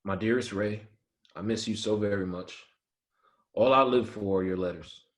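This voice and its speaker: A man playing an earnest, principled young Army soldier in a screenplay table read, measured and quietly firm. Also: lowish voice, lightly acted. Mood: tender. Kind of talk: screenplay table read, first-person narration and dialogue.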